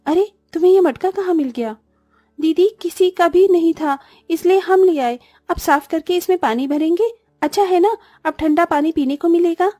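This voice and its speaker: A woman's voice: very high-pitched, moderate pace, warm tone, no room sound, studio quality. A woman voicing eager, sweet young orphan sisters in an exclaiming, sing-song cartoon storybook manner. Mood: excited, wondering. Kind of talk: Hindi animated moral story dialogue.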